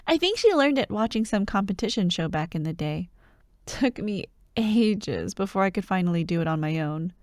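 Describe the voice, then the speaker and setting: A woman playing an excitable, teasing young childhood friend who loves Halloween, in an ASMR roleplay addressed to the listener. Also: mid-range voice, normal speed, no room sound, clean studio sound, close to an everyday voice. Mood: chatty, proud. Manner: playful, teasing, animated, with frequent giggles and mock drama.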